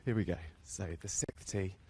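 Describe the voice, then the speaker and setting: A man reporting live from a golf course, talking quietly and walking along with the players as he commentates. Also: sits low, steady tone, moderate pace, vocal fry, slightly rough.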